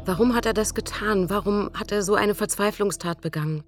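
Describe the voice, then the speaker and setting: A woman's voice: medium pitch, fairly quick, close to an everyday voice. An actress playing a grieving woman who speaks in a sorrowful, questioning way in a radio play.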